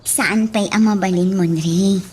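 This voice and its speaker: A woman's voice: mid-range voice, steady tone, unhurried, darkish tone, a little hiss or hum, close to an everyday voice. A woman playing a concerned, reluctant woman in a radio drama. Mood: worried.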